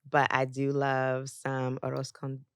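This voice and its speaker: Gravelly-voiced woman guest, dry sound. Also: rumbling low, monotone, slow pace, no echo. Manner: warm storytelling with frequent fillers and laughs. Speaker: podcast guest. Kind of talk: casual interview chat.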